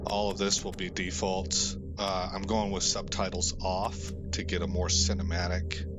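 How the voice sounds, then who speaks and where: A man's voice: low-pitched, little pitch movement, moderate pace, heavy background noise. A man hosting a gaming channel, giving casual playthrough commentary. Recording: loud game music behind the voice.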